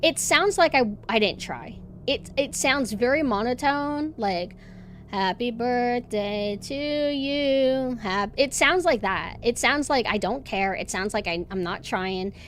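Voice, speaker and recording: A woman streamer with a monotone voice, chatting casually and rambling with lots of fillers and restarts. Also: on the high side, slow, a little hiss or hum. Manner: rambling, self-deprecating, many fillers and restarts. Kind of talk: casual chat.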